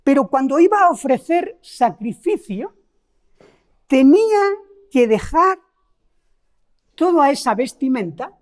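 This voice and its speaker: Middle-aged female preacher from Spain, Spain Spanish accent, fairly high voice, lively emphatic delivery. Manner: animated, rhetorical questions to the congregation; emphatic, slows down and stresses key words. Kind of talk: sermon.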